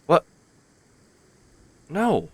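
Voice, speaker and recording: A man's voice: very slow, darkish tone, a little hiss or hum. A man streaming a video game, giving casual commentary with playful asides.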